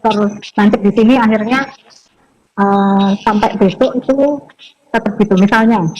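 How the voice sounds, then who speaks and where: A woman's voice: medium pitch, normal speed, a little mellow, poor audio. A woman giving an informal training lecture as a university lecturer, conversational and mixing in English terms.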